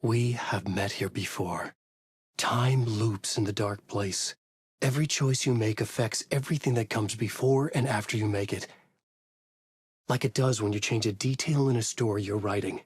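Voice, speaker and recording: An actor playing a tormented thriller writer trapped in a horror story in a video game, with a grave, introspective, intense delivery and a husky voice. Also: male voice, on the low side, fairly even intonation, moderate pace, no room sound, studio quality. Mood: brooding, reflective. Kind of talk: brooding dramatic game dialogue and noir monologue.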